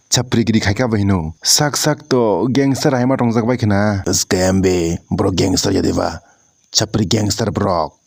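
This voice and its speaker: Male video commentator, mid-pitched, dry close recording; casual, crude, mocking rant. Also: a little mellow, no echo. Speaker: video creator reacting to prank clips.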